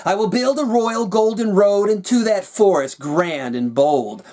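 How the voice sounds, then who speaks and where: A man's voice: sits high, a little slow, mellow, voice pushed up. A man voicing a cold, scheming, power-hungry king in a rhyming storybook, imperious and menacing. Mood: scheming, defiant. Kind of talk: storybook character reading in rhyme.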